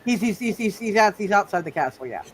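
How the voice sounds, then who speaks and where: A man acting as game master of a superhero roleplay, halting and often repeating his phrases as he improvises. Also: high voice, animated, slight background noise. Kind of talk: live tabletop superhero roleplay, improvised narration.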